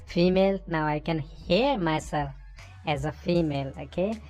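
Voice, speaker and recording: A man speaking casually and haltingly through a voice changer set to female, giving a software tutorial. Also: soft background music. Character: man's voice shifted to female by voice changer. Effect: pitch-shifting voice changer.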